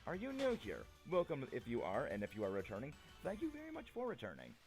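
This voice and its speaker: A young man chatting playfully with his stream viewers, a little gravelly and prone to repeating himself. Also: mid-range voice, huge pitch swings, quick pace, darkish tone, soft background music. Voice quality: slightly gravelly. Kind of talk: casual live-stream chat with viewers.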